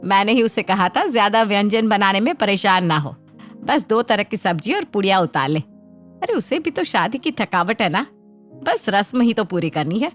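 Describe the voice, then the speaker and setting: A woman's voice: mid-range voice, expressive, moderate pace, lightly acted. Storybook mother-in-law, played by a female narrator: middle-aged, motherly, coaxing yet exasperated, over music. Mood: soothing. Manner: motherly coaxing, addresses others as child. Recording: background music under the voice.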